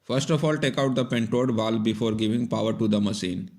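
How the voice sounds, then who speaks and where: A man's voice: fairly low pitch, fairly even intonation, brisk, a little mellow. Man narrating a step-by-step repair tutorial. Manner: plain, methodical, instructive. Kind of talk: scripted step-by-step instructional narration.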